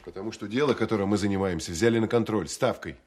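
Actor as a low-voiced, gruff Soviet field officer. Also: male voice, fast talker, darkish tone, natural voice. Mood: brisk, dismissive. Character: tough, bitter Soviet counterintelligence field officer, weary and blunt. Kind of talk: Russian radio drama acting.

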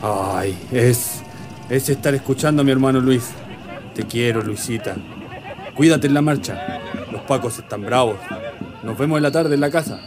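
A man's voice: medium pitch, moderate pace, pauses often, natural voice. A man playing a fond working father with a Chilean accent in a radio drama. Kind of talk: amateur radio drama acting. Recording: engine and vehicle noise behind.

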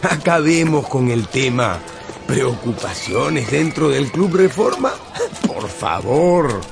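An actor playing an unruffled, condescending club gentleman in a radio drama, measured and placating. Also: medium pitch, very animated, vocal fry, music in the background, full character voice. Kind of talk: Spanish-language radio drama acting.

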